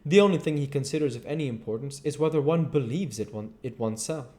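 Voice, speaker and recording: A man voicing a witty, vain English gentleman from a novel, with an exclamatory, teasing and pompous manner. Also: mid-range voice, fast talker, lightly acted. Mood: teasing, opinionated.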